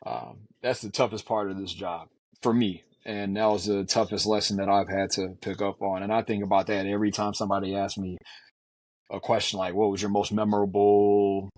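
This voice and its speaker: Earnest low-voiced man in his forties. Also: level tone, a little mellow, no echo. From Houston, Texas. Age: 40s. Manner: earnest, measured, with frequent fillers. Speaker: physician guest. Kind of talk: reflective conversational storytelling.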